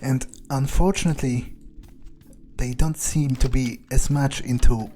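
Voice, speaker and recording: A ruthless male vampire thinks aloud through a video game, voiced by a man playing in character. His voice is mid-pitched, brooding and wry, in halting musings, with faint music behind. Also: steady tone, mellow, close to an everyday voice. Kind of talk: in-character game playthrough narration.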